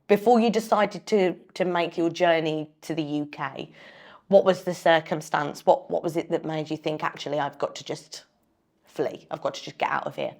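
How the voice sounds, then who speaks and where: A woman's voice: deep voice, normal speed, vocal fry, darkish tone. A woman in her mid twenties from England, hosting an interview podcast and asking questions in an encouraging way, often repeating words. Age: 20s. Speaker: podcast host. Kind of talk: casual interview talk.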